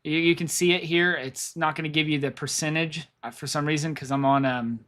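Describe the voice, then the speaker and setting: Hoarse man rambling through market levels. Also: a little high, fairly even intonation, brisk, a bit bright. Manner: rambling, repeats himself, thinks aloud. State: losing his voice. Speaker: stock market streamer. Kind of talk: live trading commentary.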